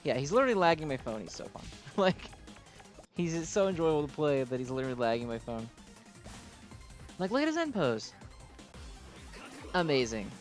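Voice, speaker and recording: A man's voice: a little high, big pitch swings, normal speed, long pauses, faint music behind. A man hosting a gaming video with casual, gushing, enthusiastic commentary, often slipping "like" into his sentences.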